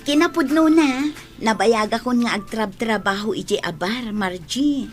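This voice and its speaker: A woman's voice: on the high side, a little slow, soft background music, lightly acted. A woman playing a friendly, persuasive young bar waitress in a scripted audio drama. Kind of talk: scripted radio-style drama.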